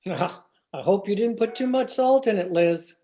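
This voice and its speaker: A woman's voice: medium pitch, lively intonation, measured pace, warm tone, lightly acted. A woman playing a grumbling, suspicious young banker husband in an old-time radio sitcom style. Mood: teasing.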